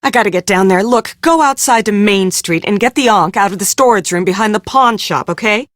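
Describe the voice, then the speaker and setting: An actress playing a harried, blunt film producer in a mystery game, brusque and sardonic. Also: female voice, mid-range voice, brisk, dry recording, studio quality, close to an everyday voice. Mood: urgent, exasperated. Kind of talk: scripted game dialogue.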